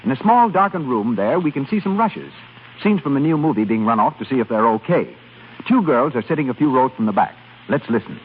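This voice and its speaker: Lively, husky 1930s male radio announcer. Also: medium pitch, a little fast. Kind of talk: scripted 1930s radio advertisement. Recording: tinny 1930s radio broadcast.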